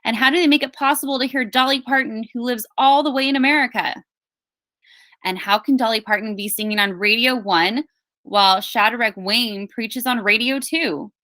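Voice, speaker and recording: A curious young boy who tinkers and fixes things, voiced by a woman reading a storybook. He sounds earnest and sure of himself, with a bright voice. The sound is dry. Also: on the high side, moderate pace, no echo, pitched above the performer's own voice. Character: curious, confident young boy tinkerer, eager to fix radios.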